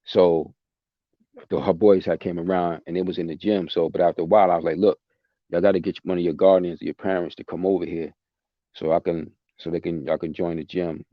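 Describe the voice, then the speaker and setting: Host, male, low voice, passionate talker, dry dull lo-fi recording. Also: flat delivery, fairly quick, dry recording. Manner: passionate, emphatic, repeats himself. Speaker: podcast host. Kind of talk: casual chat.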